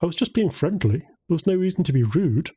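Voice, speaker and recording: A man's voice: fairly high pitch, very animated, a little fast, warm tone, slightly rough, pitched above the performer's own voice, full character voice. A man voicing a friendly, confident male friend in an audiobook, charming and nonchalant.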